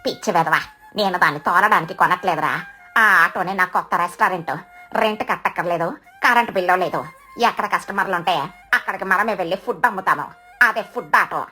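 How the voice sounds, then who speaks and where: A woman's voice: fairly low pitch, brisk, bright, forceful tone, soft background music. A woman voicing a feisty, boastful mother crow who sells food in a cartoon story, dramatic and brash. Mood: excited.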